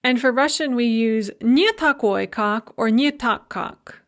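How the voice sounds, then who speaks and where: Woman, higher-pitched voice, university language lecturer, clear and measured delivery. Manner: clear and measured, slows down for Russian examples.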